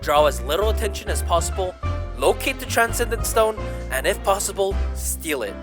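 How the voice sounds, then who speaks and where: A man's voice: sits high, very animated, normal speed, over music. A man playing an earnest young mage hero in a game fandub, careful and instructive. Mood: earnest, focused. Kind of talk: anime game fandub acting.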